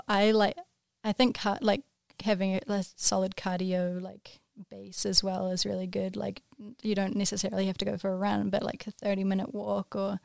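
A young woman from New Zealand with a New Zealand accent, chatting casually as a podcast guest in a laid-back way, full of "like" and quick laughs. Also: medium pitch, vocal fry, a little mellow, no room sound, clean studio sound. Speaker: podcast guest, pro snowboarder. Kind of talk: casual chat.

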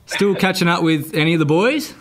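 Male, Australian, acting as a gushing old footy teammate on a prank call, higher pitched and animated. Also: fairly quick. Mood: nosy, probing. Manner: matey, nosy. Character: chummy, gushing old junior football teammate on the phone. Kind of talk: casual prank phone call.

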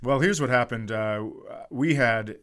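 A man's voice: medium pitch, a little slow, a bit bright. A middle-aged American man telling casual comedic stories as a podcast host, with a profane, exasperated ranting manner.

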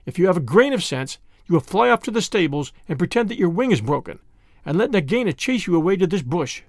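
A male storyteller brings to life a fierce little mongoose in a storybook, urgent and set on his task. The voice is bright and lively, with a gravelly grain. It sounds dry and close. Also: sits high, fast talker, no echo. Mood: impatient. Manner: urgent, determined.

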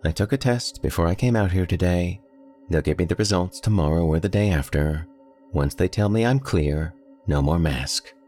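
A man's voice: sits low, normal speed, darkish tone, faint music behind, pitched above the performer's own voice. A man voicing a young college-age daughter who answers patiently and a bit pointedly. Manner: patient, lightly sardonic.

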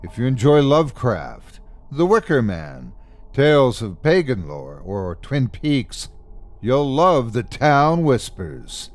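A man hosting a horror podcast, reading a scripted introduction with theatrical, deliberate emphasis. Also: medium pitch, wildly swooping pitch. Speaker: podcast host.

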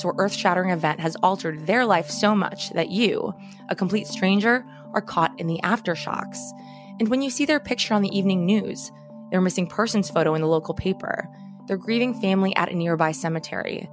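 A woman co-hosting a true crime podcast, with a hoarse voice after karaoke, moving between banter and measured, dramatic scripted narration. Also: medium pitch. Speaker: podcast co-host.

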